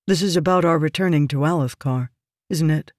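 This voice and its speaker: A woman's voice: low-pitched, fairly quick, darkish tone, no echo, clean studio sound, lightly acted. A woman voicing an earnest, protective nobleman with a concerned manner in an audiobook. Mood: earnest, reassuring. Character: earnest, honorable, protective young lord. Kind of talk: audiobook character dialogue.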